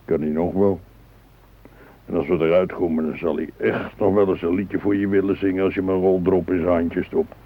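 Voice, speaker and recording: A weary, pedantic grandfather grumbles and explains in a radio play. His elderly voice is deep and full of gravel. The old recording is muffled. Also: little pitch movement, fairly quick. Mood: gruffly consoling. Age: older. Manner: explaining patiently, gruffly correcting. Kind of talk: radio drama dialogue.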